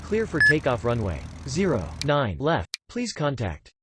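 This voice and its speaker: A man's voice: medium pitch, huge pitch swings, a little slow. A man speaking as an air traffic controller in clipped, scripted radio phraseology.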